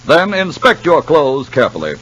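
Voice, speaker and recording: A man's voice: on the high side, wildly swooping pitch, normal speed, a bit bright. An American man reading a 1950s radio commercial with an emphatic salesman's delivery. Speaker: commercial announcer.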